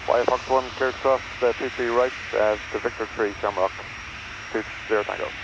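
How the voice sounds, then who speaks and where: A man's voice: mid-range voice, fairly even intonation, moderate pace, lo-fi sound. A man working as an airline pilot, reading back instructions in clipped phrases over aviation radio. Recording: noisy cockpit radio transmission, aircraft noise.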